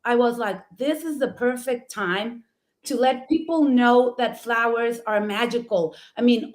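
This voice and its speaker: A middle-aged woman from Mexico with a Mexican accent, a native Spanish speaker, telling her story as a co-host, enthusiastic and laughing often, often rephrasing with "I mean". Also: a little high. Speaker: florist and talk show co-host.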